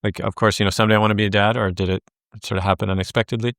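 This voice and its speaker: A man's voice: fairly low pitch, flat delivery, rapid-fire, vocal fry, fairly bright tone, no echo, clean studio sound. A man hosting a podcast interview, affirming his guest and asking long, rambling questions full of fillers. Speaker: podcast host. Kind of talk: interview conversation.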